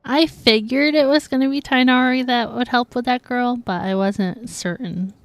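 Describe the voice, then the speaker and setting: A woman's voice: fairly high pitch, moderate pace, soft background music. A woman livestreamer reacting casually to a game in playful, slangy talk.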